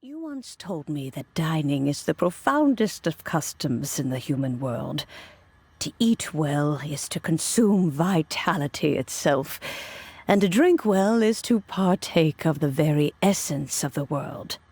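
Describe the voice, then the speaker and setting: A woman playing a wise ancient woman in a game cutscene, speaking in a measured, solemn, reflective way. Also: low-pitched, expressive, darkish tone, slightly rough, full character voice. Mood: reflective, tender. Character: calm wise ancient woman, old friend of gods. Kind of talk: scripted narration, game cutscene. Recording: music behind the voice. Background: music.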